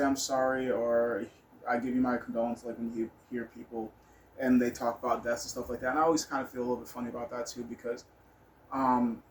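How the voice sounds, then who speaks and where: A man's voice: medium pitch, low-quality recording. A man telling personal stories in a casual, rambling monologue, blunt and candid, with some swearing. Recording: noticeable background noise.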